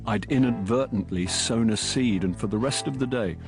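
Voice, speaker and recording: A man's voice: on the low side, normal speed, over music, natural voice. An actor playing a hapless, evasive middle-aged English man in a radio comedy-drama, by turns wry and flustered. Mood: wry narrating. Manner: flustered, hesitant in dialogue, wry in narration. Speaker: actor playing narrator and lead. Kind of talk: radio comedy-drama acting and first-person narration.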